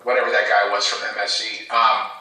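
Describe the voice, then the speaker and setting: A man's voice: lowish voice, level tone, a little fast, pushed and bright. A man, a guest and former fight manager, chatting casually and effusively about sports in a rambling way. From Canada. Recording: echoey, distant from the mic.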